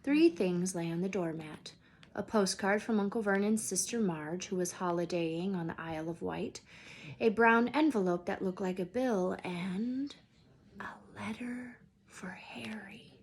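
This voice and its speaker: A woman's voice: mid-range voice, a little slow. A woman reading a children's story aloud expressively, as a teacher would.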